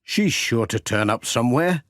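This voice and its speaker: A man's voice: medium pitch, animated, normal speed, no echo, studio quality, natural voice. A man voicing a friendly, cheerful country postman in a children's storybook reading. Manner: cheery, warm storybook dialogue.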